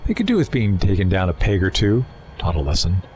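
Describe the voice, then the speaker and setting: An actor in an audio drama playing a suave, erudite renegade Time Lord villain, wordy, condescending and persuasive. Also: male voice, deep voice, big pitch swings, brisk, darkish tone, a little hiss or hum. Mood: persuasive, scornful. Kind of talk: full-cast audio drama acting.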